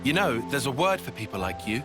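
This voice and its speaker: A man's voice: mid-range voice, big pitch swings, fast talker, music in the background, natural voice. Man acting a dry, brooding young game soldier. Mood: wry. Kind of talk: video game cutscene acting.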